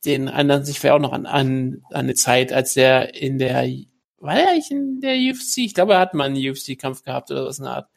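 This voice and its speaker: A man's voice: mid-range voice, fast talker, vocal fry. A man hosting a casual podcast chat, rambling and searching for words with frequent fillers. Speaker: podcast host. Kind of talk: casual chat about MMA news.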